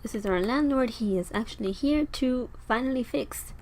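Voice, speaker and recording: Raspy young woman narrating her gameplay. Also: fairly high pitch, normal speed, a little mellow, a little hiss or hum. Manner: chatty, rambling, talks to her game characters. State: tired, sore throat. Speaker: gameplay video host. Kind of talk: casual gameplay commentary.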